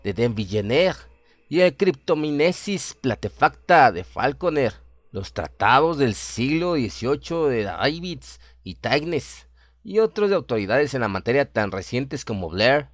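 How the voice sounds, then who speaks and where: A man narrates a horror radio play with dramatic, literary phrasing. His mid-pitched voice moves widely in intonation, and the recording is close and dry. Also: sing-song, a little slow, no echo.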